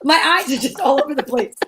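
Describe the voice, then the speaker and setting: High-voiced woman chatting casually. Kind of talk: casual chat.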